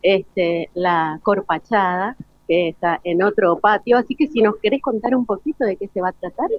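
A woman's voice: mid-range voice, moderate pace, no room sound. A woman with an Argentine accent works as a radio host, doing live interviews on a remote broadcast. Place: school event, remote broadcast. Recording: dull lo-fi radio broadcast link.

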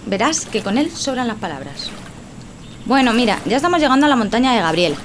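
A woman hosting a travel show, asking relaxed, friendly questions. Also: fairly high pitch, brisk, loud music behind the voice. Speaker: show host. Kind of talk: casual chat. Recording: noisy field recording.

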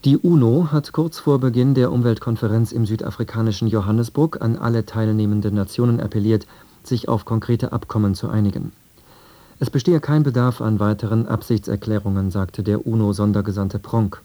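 A man reading a scripted radio news and weather bulletin in a sober, measured manner. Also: on the low side, mellow. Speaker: radio newsreader.

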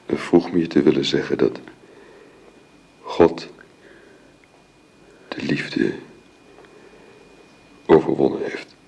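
An actor in a Dutch radio drama playing a gentle husband and father, speaking tenderly and haltingly in a hushed way. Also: a bass voice, fairly even intonation, gravelly, full character voice. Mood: grieving, exhausted. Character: grieving gentle husband and father at his wife's deathbed.

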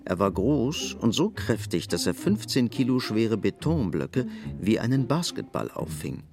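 A male narrator tells a story in scripted literary style. His voice is lowish and creaky with very lively intonation, over clear instrumental music. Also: measured pace. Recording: music bed under voice.

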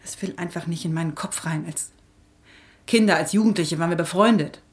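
A woman's voice: on the low side, a little fast, lightly acted. A woman playing a defensive, bitter, wounded suspect under questioning in a naturalistic radio drama. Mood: hurt, bitter.

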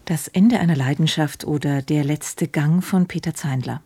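A woman's voice: deep voice, normal speed, warm tone, slightly rough. A woman working as a radio announcer, reading scripted drama credits in a formal way.

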